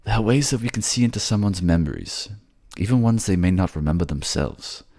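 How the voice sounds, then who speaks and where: A man's voice: deep voice, lively intonation, fairly quick, darkish tone, pitched above the performer's own voice. A man voicing a resolute, protective prince who speaks firmly and formally in a fantasy audio drama. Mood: urgent, resolute. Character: resolute, protective young prince, commanding and earnest.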